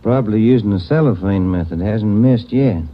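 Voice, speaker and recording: An American actor playing a plain-spoken, easygoing police detective in a 1940s radio drama, casual and understated. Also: male voice, fairly low pitch, normal speed, lightly acted. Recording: dull, noisy 1940s radio recording.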